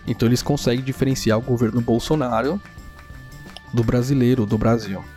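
A man's voice: medium pitch, fairly even intonation, brisk, dark tone, faint music behind. A man from Brazil with a Brazilian Portuguese accent, hosting a solo podcast in a chatty, rambling way and tagging sentences with "né?". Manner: chatty, rambling, frequent "né?" tags. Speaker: podcast host. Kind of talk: casual solo podcast monologue. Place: home in a small town.

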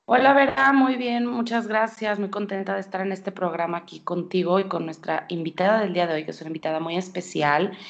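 A woman's voice: mid-range voice, fairly even intonation, brisk, creaky, few pauses. A woman co-hosts a podcast, friendly and eager as she asks questions in a mid-range voice. Her recording is a little dull and lo-fi. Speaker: podcast co-host. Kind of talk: casual podcast chat. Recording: slightly dull lo-fi audio.

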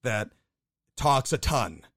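A man's voice: mid-range voice, animated, takes it slowly, fairly bright tone, dry recording. A young man from the Buffalo, New York area talks with a mid-range voice. He tells stories in a loose, candid way and swears now and then. The sound is dry and close to the mic. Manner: storytelling, candid, swears casually. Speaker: podcast co-host. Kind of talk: casual conversational podcast.

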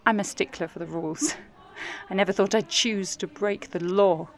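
An actress playing an earnest, hopeful present-day activist mother, giving a measured, idealistic, poetic monologue in an audio drama. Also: medium pitch, a little hiss or hum, natural voice. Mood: earnest, resolute. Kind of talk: reflective first-person monologue in audio drama. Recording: music underneath.

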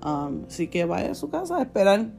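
A young woman with a Puerto Rican accent speaks in a soft-edged, mellow voice. She chats casually and cheerfully in a testimonial style, with gentle guitar music playing faintly behind her. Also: medium pitch, big pitch swings, brisk, gravelly. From born in Puerto Rico, raised in the United States. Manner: cheerful, given to laughing. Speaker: podcast co-host, church worship leader.

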